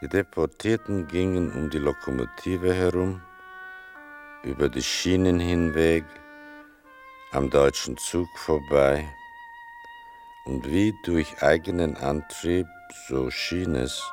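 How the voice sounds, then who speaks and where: Sombre radio-play narrator, a son recounting his mother's past; extremely low, measured and unhurried. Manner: deliberate, sombre, restrained. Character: grave adult son narrating his mother's wartime deportation.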